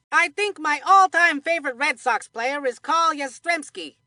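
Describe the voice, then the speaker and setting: A woman's voice: sits high, bright, forceful tone, no echo, studio quality. A woman voicing a folksy cartoon baseball commentator for a kids' game, telling trivia in a chatty storytelling way. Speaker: sports commentator.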